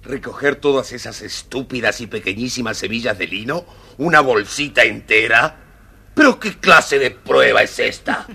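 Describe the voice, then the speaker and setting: A man's voice: mid-range voice, moderate pace, gravelly, pushed and bright, husky, full character voice. An actor playing an arrogant, lazy young man, haughty and easily indignant, in a children's audio storybook. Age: young adult.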